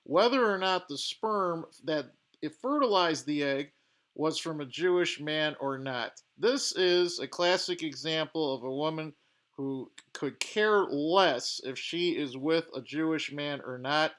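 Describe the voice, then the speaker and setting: A man hosting a reaction video, pausing clips to give blunt, judgmental commentary with frequent fillers. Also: fairly high pitch, fairly bright tone. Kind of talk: commenting over paused clips.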